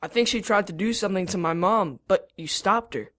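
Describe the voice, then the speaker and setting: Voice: medium pitch, a little fast, a bit bright, close to an everyday voice. A performer playing an eager, cheeky eleven-year-old boy in an audio drama, talking matter-of-factly.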